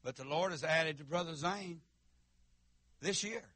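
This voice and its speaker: A man preaching a fiery holiness sermon, with stammering restarts and shouts to the congregation. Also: on the high side, measured pace. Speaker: pastor. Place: church sanctuary.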